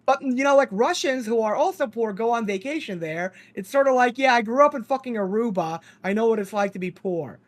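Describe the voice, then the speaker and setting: A man's voice: very high-pitched, expressive, brisk, few pauses. A man who grew up in Ukraine, a podcast guest in casual chat, rambling and sardonic, laughing often.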